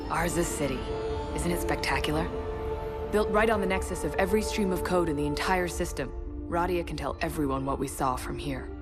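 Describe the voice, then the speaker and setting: An actress playing a determined young resistance fighter in a video game, urgent, earnest and forthright. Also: female voice, deep voice, a little fast, loud music behind the voice, natural voice. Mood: focused, earnest. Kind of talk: video game cutscene acting.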